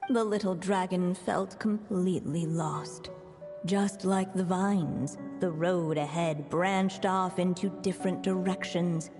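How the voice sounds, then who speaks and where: A woman voicing a solemn storybook narrator in a video game, telling a fairy tale in a measured way. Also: on the low side. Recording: long reverb.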